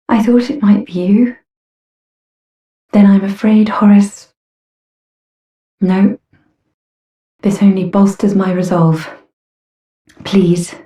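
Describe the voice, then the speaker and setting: Slow, echoing, courteous lady knight voiced by a woman. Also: medium pitch, close to an everyday voice. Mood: grave resolve. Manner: formal, polite, gentle. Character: courteous, earnest female knight on a grim quest. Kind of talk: fantasy game voice acting. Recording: roomy echo, slightly distant.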